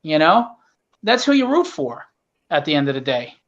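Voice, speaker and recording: A man's voice: a little high, very animated, normal speed, fairly bright tone. A man speaking casually and bluntly as a guest comic writer, earnest and opinionated, with salty language, over an online call. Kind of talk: casual, opinionated podcast talk. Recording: dull, lo-fi online call audio.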